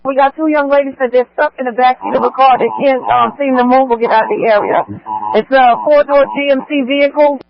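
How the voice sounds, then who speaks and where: A man's voice: very high voice, fairly even intonation, fairly quick, gravelly, no echo, dull audio. A man working as a police radio dispatcher, relaying and repeating orders to units over a scanner.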